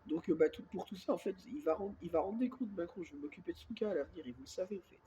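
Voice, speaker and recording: A man with a head cold talks softly and at length into his phone held close. He rambles through a casual monologue and keeps circling back with filler words. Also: sits high, racing, slight background noise. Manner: speaks softly on purpose. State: has a cold, congested and coughing. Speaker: self-filmed vlogger.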